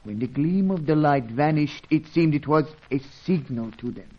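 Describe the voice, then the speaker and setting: A humble, superstitious local guide from an old radio adventure. He tells things formally and haltingly, with solemn courtesy. The sound is dull and narrow. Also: fairly high pitch, lively intonation. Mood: confiding. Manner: formal, halting, florid storytelling. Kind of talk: old-fashioned radio serial acting. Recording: dull, band-limited old radio recording.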